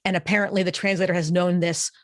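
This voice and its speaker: Low-voiced American woman hosting a podcast. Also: little pitch movement, fast talker, dry recording, studio quality. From United States. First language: English. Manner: thoughtful, explanatory, lists examples with frequent "et cetera". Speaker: podcast host. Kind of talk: conversational podcast interview.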